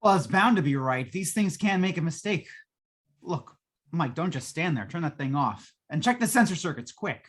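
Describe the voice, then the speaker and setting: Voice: mid-range voice, big pitch swings, normal speed, a bit bright, a little hiss or hum. A performer playing a worried, slangy repairman in a 1950s-style radio play, exasperated and emphatic in manner. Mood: alarmed. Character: worried, excitable working-man repairman, narrating his tale.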